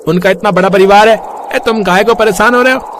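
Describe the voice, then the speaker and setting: Village gossip for a storybook male actor: chatty, fairly high voice, clear bird-like ambient noise. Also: brisk, close to an everyday voice. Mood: teasing. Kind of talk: acted story dialogue.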